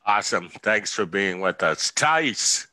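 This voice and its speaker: A man hosts a panel talk over a video call, slow and unhurried in his questions. His voice is bright and slightly low-pitched. It sounds dry and close, with no room sound. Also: expressive, dry recording. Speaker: host.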